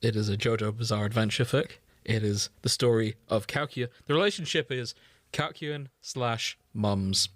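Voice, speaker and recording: A man's voice: fairly low pitch, normal speed, no echo. Understated man co-hosting a podcast, dry sound. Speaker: podcast co-host. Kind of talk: casual chat.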